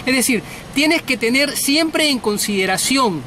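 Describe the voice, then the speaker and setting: A man's voice: very high voice, lively intonation, a little slow, sharp tone, a little hiss or hum. A middle-aged man giving an informal sales lesson to camera from inside a car, bluntly and emphatically, repeating words for stress. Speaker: sales trainer, host.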